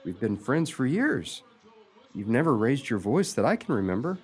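An actor playing a supportive, lovestruck male friend, earnest and gently persistent, in a radio play. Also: medium pitch, sing-song, moderate pace, husky. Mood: fond, teasing.

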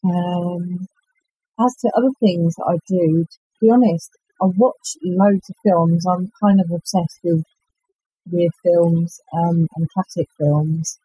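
A woman, an author chatting in an interview in a halting, self-effacing way. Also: on the low side, steady tone, warm tone, a little hiss or hum, low-quality recording. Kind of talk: casual interview chat.

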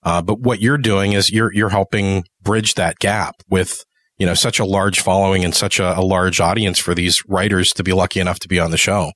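A man's voice: deep voice, fairly even intonation, brisk, a bit bright, no room sound, clean studio sound. A man hosting a podcast interview in a conversational, supportive and agreeable way. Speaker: podcast host and producer.

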